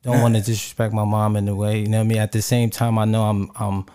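Man from barbados, low voice, halting speech, repeats words, podcast co-host. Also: little pitch movement, warm tone, clean tone. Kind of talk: casual chat.

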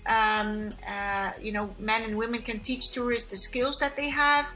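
A woman born in Kenya, answering interview questions about tourism in an earnest way, with many pauses and restarts. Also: a little high, little pitch movement, pushed and bright, faint music behind, rough recording. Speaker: guest, sustainable tourism expert.

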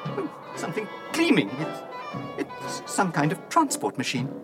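Over swelling music, a prissy protocol droid from a science-fiction radio play. He swings widely in pitch as he frets, scolds and pities himself with theatrical indignation. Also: huge pitch swings, a little slow, exaggerated voice. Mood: despairing. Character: fussy, anxious, self-pitying male protocol droid.

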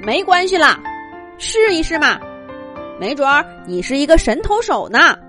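A woman's voice: high voice, sing-song, moderate pace, bright, forceful tone, faint music behind, pitched above the performer's own voice, heavily acted. A woman voicing a friendly, playful young male gray rabbit in a children's story, cheerful and encouraging.

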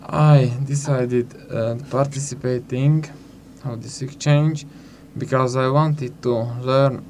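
Man guest, slow and halting, mid-pitched. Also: fairly even intonation, darkish tone, slight background noise. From Slovakia. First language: Hungarian. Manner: halting, searching for words. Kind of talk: casual interview answers.

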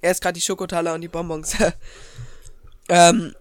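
A man's voice: fairly high pitch, level tone, moderate pace, a loud crowd. A teenage boy chatting casually as co-host, a bit stuffy-nosed from a cold. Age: teens. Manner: chatty, eats while talking. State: slightly congested from a cold. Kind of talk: casual chat.

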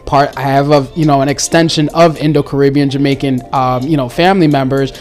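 A woman talking candidly in a casual group discussion, animated and jumping into high exclaimed asides. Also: a little fast, soft background music.

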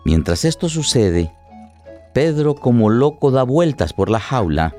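A man's voice: medium pitch, very animated, a little slow, darkish tone, soft background music. A man delivering dramatic scripted narration for a radio play.

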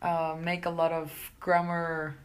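A woman's voice: on the low side, completely flat delivery, measured pace, clean tone. A young woman from Mexico whose first language is Spanish, with a Mexican accent. She co-hosts a casual podcast, stops often to search for words and laughs easily. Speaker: podcast co-host. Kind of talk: casual chat.

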